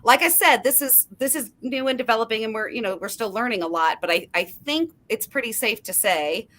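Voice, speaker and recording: A woman's voice: fairly high pitch, expressive, fairly quick, fairly bright tone. A woman guest on a literacy panel, explaining research in an earnest way and often restarting her sentences. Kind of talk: panel discussion.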